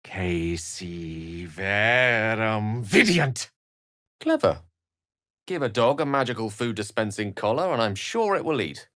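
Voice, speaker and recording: A man's voice: fairly low pitch, very animated, takes it slowly, fairly bright tone, dry recording, studio quality, full character voice. A man voicing an eloquent, bookish wizard in a video game, articulate and theatrical, with ornate scholarly phrasing and a wry streak. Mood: dramatic incantation, then wry, conversational. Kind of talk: scripted video game character dialogue.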